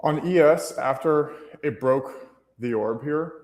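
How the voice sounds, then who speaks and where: A man's voice: on the high side, takes it slowly, darkish tone. Echoey man chatting on a trading stream. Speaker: livestream co-host, futures trader. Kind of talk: casual chat and trade commentary.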